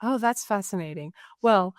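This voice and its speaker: Animated middle-aged woman, coach guest. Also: mid-range voice, no echo, studio quality. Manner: animated, warm, laughs often, quick talker. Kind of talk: casual interview chat.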